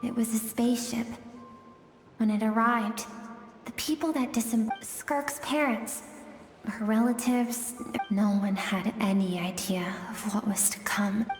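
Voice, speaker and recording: Actress as mysterious female storyteller, gentle, slow, soft, echoing, music underneath, video game. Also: on the high side, close to an everyday voice. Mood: wistful, solemn. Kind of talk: scripted game narration. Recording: echoey, long reverb, music behind.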